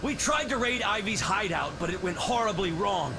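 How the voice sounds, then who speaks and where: A man's voice: high-pitched, animated, moderate pace, creaky, background noise. An actor voicing an urgent, pleading male police officer in a video game. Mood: desperate pleading.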